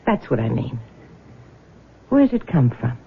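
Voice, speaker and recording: A woman in a 1970s radio drama playing a scornful, nagging small-town wife with a sharp, needling manner. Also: very deep voice, big pitch swings, a little slow, husky, narrow, tinny audio, exaggerated voice. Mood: suspicious. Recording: band-limited vintage radio broadcast.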